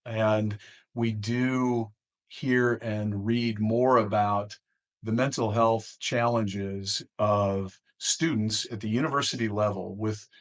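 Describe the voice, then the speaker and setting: An American man hosting an interview, speaking in a measured, deliberate conversational way. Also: fairly low pitch, fairly even intonation. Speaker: interview host.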